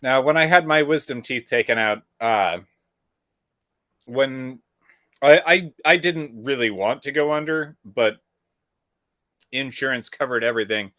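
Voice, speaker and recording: A man co-hosting a podcast, telling an animated story in casual chat and acting out the dialogue. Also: medium pitch, a little slow, pauses often, dry recording, clean studio sound. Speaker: podcast co-host.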